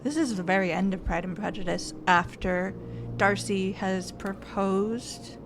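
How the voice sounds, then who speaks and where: A woman's voice: mid-range voice, measured pace, creaky, background noise. A woman streamer casually thinking aloud while playing a game and reading text off the screen. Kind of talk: casual let's-play commentary. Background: game music.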